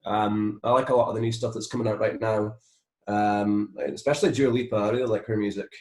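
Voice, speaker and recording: Scottish male guest, lowish pitch, informal conversational delivery, echoey room sound. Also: steady tone, a little fast, darkish tone. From Scotland. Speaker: interview guest, musician and songwriter. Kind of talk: casual interview chat. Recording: roomy, echoing sound.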